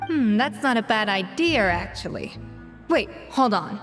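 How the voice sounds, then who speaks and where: A woman's voice: medium pitch, sing-song, unhurried, fairly bright tone. A woman voicing a proud, exacting but caring master chef in a video game, composed and articulate. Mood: assured. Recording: reverberant, background music.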